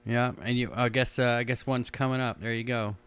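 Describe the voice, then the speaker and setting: A man's voice: mid-range voice, little pitch movement, fairly quick, fairly bright tone, smooth, dry recording. A middle-aged man hosting a radio show, trading easygoing banter with a guest and laughing often, with stammered restarts. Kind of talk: casual radio chat.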